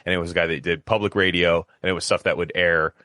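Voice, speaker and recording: A man's voice: low voice, steady tone, fast talker, sharp tone, no echo, clean studio sound. A man chatting casually on a podcast, telling stories in a rambling, slangy way and often repeating himself. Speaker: podcast guest telling his story. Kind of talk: casual conversational storytelling.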